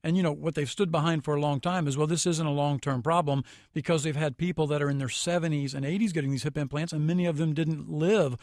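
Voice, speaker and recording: A man's voice: a little high, fairly even intonation, fast, few pauses, darkish tone, dry recording, studio quality. An American man giving critical, explanatory commentary as a guest on a radio talk show.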